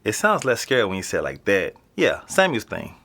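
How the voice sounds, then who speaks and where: A man's voice: lowish voice, wildly swooping pitch, a little fast, sharp tone, full character voice. An actor playing a wary young male tenant who talks casually, swears and sounds uneasy. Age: young adult. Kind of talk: audio drama acting.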